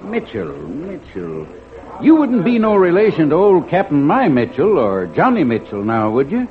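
An actor playing a folksy, friendly small-town fish buyer making genial small talk in an old radio drama. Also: male voice, fairly high pitch, wildly swooping pitch, normal speed, soft background music. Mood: musing. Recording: tinny old radio recording.

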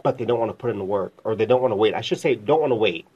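A man's voice: on the low side, racing, slightly rough. A man in his fifties hosting a casual faith talk, asking long, rambling questions in a conversational way. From America. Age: 50s. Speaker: host. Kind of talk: casual interview chat. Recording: dull lo-fi sound.

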